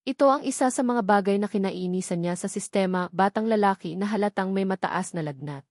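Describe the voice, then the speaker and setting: A woman's voice: medium pitch, a little fast, darkish tone, no echo, clean studio sound. A woman reading a scripted romantic drama story as its narrator.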